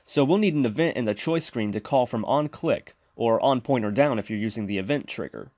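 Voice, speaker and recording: Man, tutorial presenter, scripted instructional narration, methodical, medium pitch, dry close-mic voice-over. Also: animated, brisk, darkish tone, dry recording. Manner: methodical, step-by-step explaining. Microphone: close mic, screen-recording voice-over.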